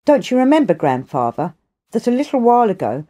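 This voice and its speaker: A woman's voice: on the high side, very animated, fairly quick, dark tone, pitched above the performer's own voice. A woman voicing a curious, eager young grandson in an audiobook, speaking politely and inquisitively. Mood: insistent, curious.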